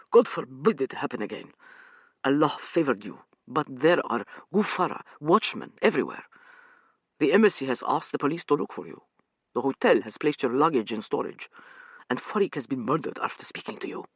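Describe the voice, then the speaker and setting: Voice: mid-range voice, big pitch swings, normal speed, husky, no room sound. An audiobook reader voicing a skeptical, exasperated man who speaks sharply. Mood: brusque.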